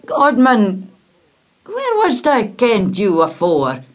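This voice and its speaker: A woman's voice: on the low side, expressive, slow. A Scottish woman voicing Peter, a homely, plain-spoken working father, in a storybook reading. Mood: kindly.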